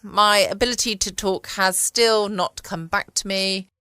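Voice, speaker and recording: A woman's voice: medium pitch, steady tone, unhurried, loud music behind the voice. A woman with an English accent, hosting a casual late-night podcast. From United Kingdom. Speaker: podcast host. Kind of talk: casual bedtime podcast talk.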